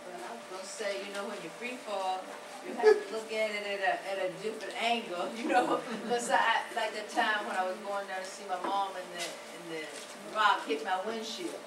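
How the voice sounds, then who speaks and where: A woman's voice: medium pitch, fairly even intonation, brisk. A woman from the congregation sharing a personal testimony in a chatty, storytelling way. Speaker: congregation member. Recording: echoey room with noise from far off.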